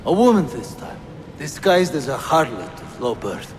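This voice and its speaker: An actor playing a smug, gossiping guard in a game cutscene, with a scornful manner. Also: male voice, on the high side, sing-song, normal speed. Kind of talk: video game cutscene acting. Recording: echoing, noisy background.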